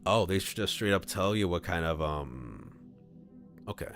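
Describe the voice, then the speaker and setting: A man's voice: deep voice, lively intonation, normal speed, a bit bright, soft background music. A man streaming a game, reading his choices aloud with chatty comments and frequent laughs.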